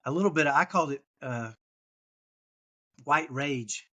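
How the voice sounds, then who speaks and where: A man with a mild Texan accent, talking as a guest on a parenting podcast. His voice sits a little high for a man. He rambles and restarts, circling back with fillers as he goes. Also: measured pace, bright, forceful tone. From Texas. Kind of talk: casual interview chat.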